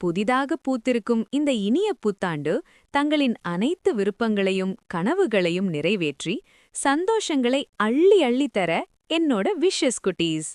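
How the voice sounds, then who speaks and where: Very animated woman telling children's stories. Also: a little high, no room sound, studio quality. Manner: animated, addresses listeners affectionately. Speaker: children's storyteller. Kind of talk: expressive storytelling addressed to young children.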